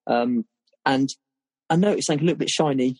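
A man in his late thirties, a guest on a casual podcast, telling stories in a relaxed, rambling way. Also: mid-range voice, fast talker, dry recording. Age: 30s. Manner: relaxed, rambling storytelling with fillers.

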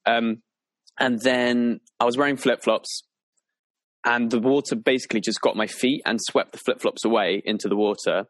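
Young man, average pitch, dry sound, hesitant casual talk. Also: fairly even intonation, moderate pace, dry recording. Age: young adult. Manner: hesitant, self-correcting storyteller. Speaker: podcast co-host. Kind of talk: casual podcast chat.

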